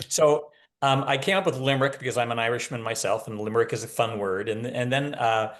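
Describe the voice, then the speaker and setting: A man's voice: medium pitch, brisk. A man talking casually as an author guest, explaining point by point with wry humor. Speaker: novelist, podcast guest. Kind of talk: casual interview talk.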